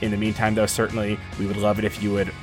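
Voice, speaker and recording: A man's voice: lowish voice, monotone, quick pace, over music. A man hosting a podcast, joking with his guest and wrapping up the episode in a friendly, casual way. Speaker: podcast host.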